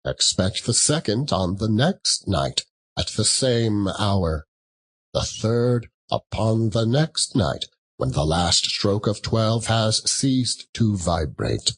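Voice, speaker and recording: A man voicing a mournful old male ghost in a storybook reading, wailing solemn, drawn-out warnings. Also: on the low side, sing-song, breathy edge, exaggerated voice. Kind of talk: dramatic audiobook reading.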